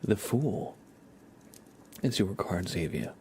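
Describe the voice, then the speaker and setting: ASMR host, male, soft breathy half-whisper, very slow gentle delivery, noticeable background noise. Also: low voice, expressive. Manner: gentle, unhurried, informal.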